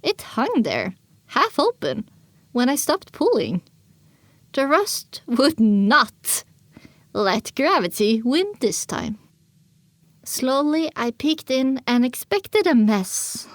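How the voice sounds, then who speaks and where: Higher-pitched woman narrating a story. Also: very animated, takes it slowly, no room sound. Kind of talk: scripted first-person story narration.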